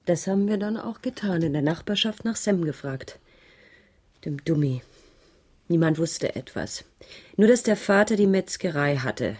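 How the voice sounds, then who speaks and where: An actress playing a troubled, evasive schoolteacher in a radio crime drama, hesitant and subdued, with a breathy voice that drops to near whispers. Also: female voice, fairly low pitch, animated, moderate pace, a little mellow. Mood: weary, explanatory. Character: troubled, evasive female schoolteacher under police questioning. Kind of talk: 1980s German radio crime drama acting.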